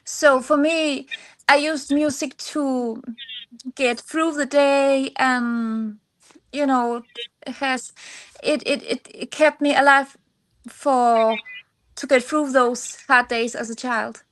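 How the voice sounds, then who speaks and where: Casting: Danish woman singer-songwriter as interview guest, high voice, Danish accent, hesitant non-native phrasing. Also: steady tone, rough recording. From Denmark. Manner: halting, searching for English words. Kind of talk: personal interview answers.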